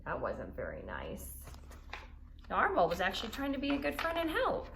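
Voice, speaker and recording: A woman with a mid-pitched voice speaks as a teacher to young pupils. She explains in simple words, repeats key points and reads aloud. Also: huge pitch swings, moderate pace, vocal fry, noisy background.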